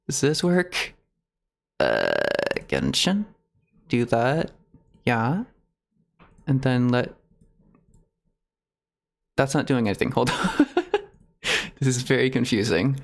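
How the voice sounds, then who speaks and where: A man chatting casually on a livestream in a halting, rambling, giggly way. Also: mid-range voice, creaky. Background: video game music.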